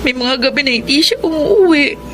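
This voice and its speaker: A woman's voice: high-pitched, lively intonation, moderate pace. A woman acting a timid, neglected young wife in a Filipino radio drama, hesitant and emotional. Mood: sad, tearful. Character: unhappy neglected young wife, sales lady, torn and timid. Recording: music playing under the voice.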